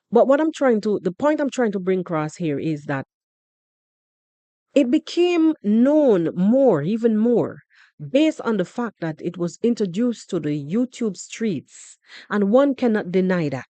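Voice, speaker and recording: A woman with a Jamaican accent hosting a podcast, commenting in an animated, repetitive way. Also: mid-range voice, no echo. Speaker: podcast host. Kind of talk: casual commentary.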